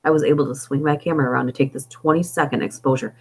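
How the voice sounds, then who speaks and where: A woman hosts a casual live stream, reading stories and chatting between them. Her voice is hoarse, as if it is wearing out. She thinks aloud and wanders from point to point. She speaks into an inexpensive desktop microphone. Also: deep voice, steady tone, racing, a little mellow. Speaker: story reader and live streamer. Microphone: budget desktop microphone.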